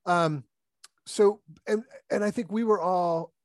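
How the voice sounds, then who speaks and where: A man's voice: sits high, takes it slowly, no room sound, clean studio sound. A man in his early fifties, co-hosting a podcast and putting questions in a casual, conversational way. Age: early 50s. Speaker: podcast co-host, interviewer.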